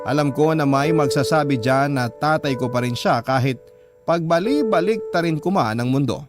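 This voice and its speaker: A man's voice: on the high side, moderate pace. A Filipino man narrating as a radio host, reading a first-person letter in an earnest, emotive storytelling style. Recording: music bed behind the voice.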